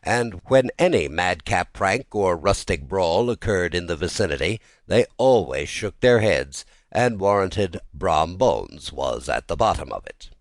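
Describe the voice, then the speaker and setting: Male narrator for classic literature audiobook: low register, highly animated theatrical storytelling, dry studio-style sound. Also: a little slow, hardly any pauses, no echo.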